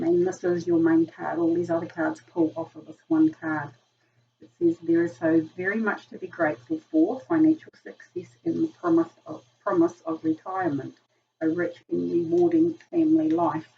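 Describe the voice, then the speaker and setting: A woman with a croaky voice from a virus, chatting casually through a tarot reading, full of ums. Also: fairly low pitch, animated, moderate pace. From New Zealand. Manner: chatty, filler-heavy, frequent ums. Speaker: tarot reader. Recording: distant lo-fi room mic, faint noise.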